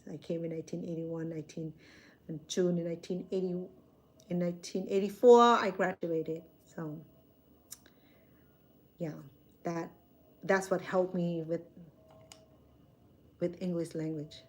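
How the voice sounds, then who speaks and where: A woman's voice: on the low side, steady tone, unhurried, pauses often, darkish tone, slight background noise. A woman, a Khmer speaker chatting casually with viewers on a live stream from her phone, rambling and correcting herself as she goes. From Cambodia. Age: 60s.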